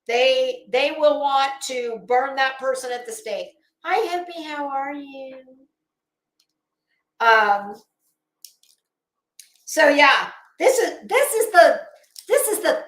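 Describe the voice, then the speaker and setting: A woman with a high voice delivers scornful, opinionated livestream commentary. She takes her time, leaning on words and repeating them for emphasis. The sound is roomy, with a noticeable echo. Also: a bit bright. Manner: blunt, scornful, emphatic, with deliberate repetition. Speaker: livestream host.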